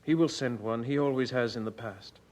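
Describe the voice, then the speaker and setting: An actor playing an earnest, devout junior churchman in a radio drama, speaking solemnly and deliberately. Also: mid-range voice, darkish tone, highs cut off, lightly acted. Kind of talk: old radio drama acting.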